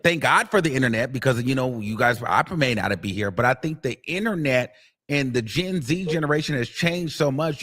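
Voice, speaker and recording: A man's voice: on the high side, fairly bright tone. A man hosting a live stream, giving emphatic opinions in casual chat. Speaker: host.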